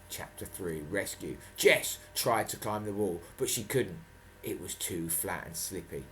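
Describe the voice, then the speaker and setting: A man's voice: on the low side, slight background noise. A man reading a storybook dramatically and breaking off for chatty, playful asides.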